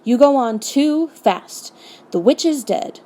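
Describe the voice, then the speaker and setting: A woman's voice: high-pitched, expressive, takes it slowly, mellow, pitched above the performer's own voice. A woman voicing a learned male doctor in a storybook, alarmed, urgent and admonishing.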